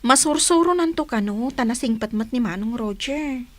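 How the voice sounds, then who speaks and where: A woman's voice: on the high side, expressive, normal speed, lightly acted. A woman with an Ilocano Filipino accent playing a firm, reproving older sister in a village radio drama. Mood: firm sympathy.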